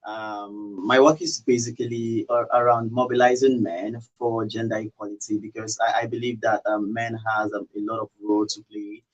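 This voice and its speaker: A man with a Nigerian accent speaking as a radio studio guest, formal and halting. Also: on the low side, level tone, recorded from a distance, highs cut off. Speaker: studio guest, gender equality advocate. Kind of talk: radio interview.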